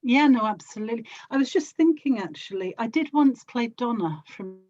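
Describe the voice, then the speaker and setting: A woman's voice: fairly high pitch, expressive, moderate pace, darkish tone, highs cut off. A woman chatting casually and expressively as an interview guest, with an enthusiastic manner. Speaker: guest, audio drama actor and producer.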